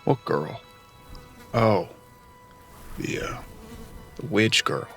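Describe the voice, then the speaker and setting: Earnest young cowboy sidekick, lowish voice but bright, western radio play. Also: male voice, very slow, faint music behind, exaggerated voice. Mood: curious. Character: young, earnest male apprentice bounty hunter. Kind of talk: western audio drama acting.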